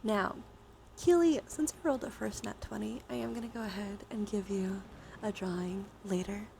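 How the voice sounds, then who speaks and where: A woman hosting a casual ASMR-style live stream game, soft-spoken with half-whispered phrase endings and a casually sweary way of talking. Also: mid-range voice, normal speed, vocal fry, background noise.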